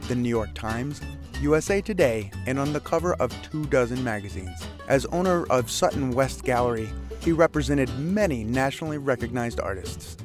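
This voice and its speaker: A man hosting a storytelling show, reading a guest bio and sponsor thanks in a steady, scripted way. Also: on the high side. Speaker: host. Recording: guitar music underneath.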